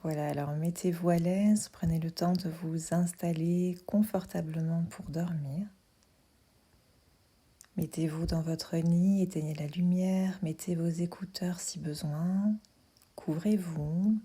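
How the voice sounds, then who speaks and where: A woman guiding a sleep meditation in a soft, half-whispered voice, calm and soothing, leaving pauses between her instructions. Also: lowish voice, husky. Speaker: yoga nidra guide.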